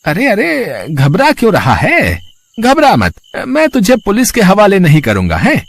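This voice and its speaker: Guy doing a greedy rich village merchant for a cartoon, oily and fake humble, highish voice that swings around a lot. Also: wildly swooping pitch, measured pace, vocal fry, no room sound, heavily acted. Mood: menacing, gloating. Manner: oily, showy politeness with self-satisfied chuckles. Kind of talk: Hindi moral-story cartoon voice acting.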